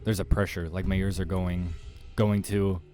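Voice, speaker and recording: Low-voiced man reading a game story. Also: normal speed. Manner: expressive dramatized reading. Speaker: gaming content creator. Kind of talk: reading a visual novel aloud with side comments. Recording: music behind the voice.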